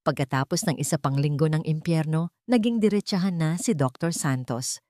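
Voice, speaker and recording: A woman's voice: low voice, normal speed, a little mellow, dry recording, studio quality. A woman narrating a scripted dramatic audio story.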